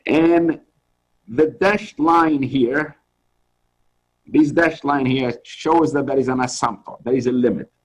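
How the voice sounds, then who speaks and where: A man's voice: mid-range voice, fairly even intonation, takes it slowly, low-quality recording. A middle-aged man from Tunisia, a journal editor, giving an earnest, encouraging webinar talk with many restarts over a video call. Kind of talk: informal lecture.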